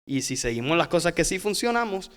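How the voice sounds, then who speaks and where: A man's voice: a little high, very animated, brisk, a bit bright, clean tone. A man with a Puerto Rican accent explaining things casually as a podcast guest. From Puerto Rico. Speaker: podcast guest, pastor's son.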